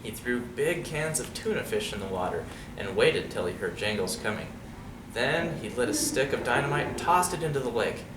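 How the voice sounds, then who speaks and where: A man reading a picture book aloud to children in an expressive, conversational way, often turning to ask them questions. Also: mid-range voice, normal speed. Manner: conversational, checks in with young listeners using questions. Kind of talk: expressive storybook read-aloud with casual asides. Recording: roomy, distant mic with clear background noise.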